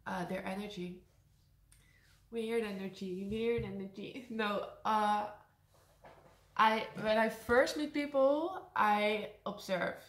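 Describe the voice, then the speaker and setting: A young Dutch woman with a high voice and a Dutch accent, chatting casually through a Q&A, laughing often and slipping in sarcastic asides. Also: slow pace. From Netherlands. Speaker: vlogger. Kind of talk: casual Q&A to camera. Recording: echoey room.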